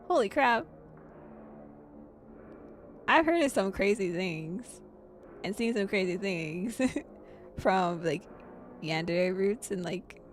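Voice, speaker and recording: Woman streamer, excitable reactions, swears and laughs. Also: medium pitch. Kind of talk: gaming stream commentary.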